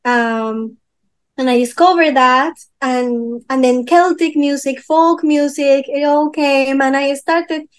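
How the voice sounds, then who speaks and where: A young woman from Peru, interviewed as a musician, with a notably high voice. She talks casually and feels her way through answers, pausing with fillers and restarting sentences as she hunts for the right word. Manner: searching for words, many fillers and restarts. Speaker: guest musician.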